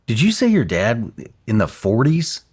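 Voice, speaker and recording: A man's voice: mid-range voice, wildly swooping pitch, measured pace, a little mellow, slightly rough. A man hosting a podcast interview who reacts with brief interjections and short questions. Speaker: podcast host. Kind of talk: casual interview.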